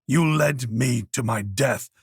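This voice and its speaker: A man voicing a hardened, cold man who speaks in a low, cutting, sardonic way. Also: slow, breathy edge, no echo, studio quality. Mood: scathing.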